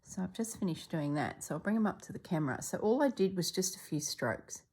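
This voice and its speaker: A middle-aged woman from Australia chatting casually as she stitches, a little congested and clearing her throat, sometimes dropping to soft murmurs. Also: fairly low pitch, a little fast. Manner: chatty, relaxed, drops into soft murmurs while working. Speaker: stitching vlogger. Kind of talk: casual chat while stitching.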